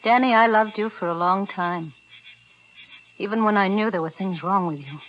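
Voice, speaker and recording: An actress playing a loyal but disillusioned sweetheart, earnest and resolute, in a 1940s radio melodrama. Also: female voice, mid-range voice, moderate pace, lightly acted. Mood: bitter, hurt. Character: loyal young woman turned bitterly disillusioned sweetheart. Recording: dull, faintly noisy old radio recording.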